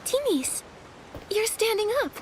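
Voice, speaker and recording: A woman's voice: very high-pitched, big pitch swings, measured pace, noisy background, exaggerated voice. A woman voicing a kind, earnest teenage girl heroine with an encouraging manner in a video game cutscene. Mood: bright, impressed.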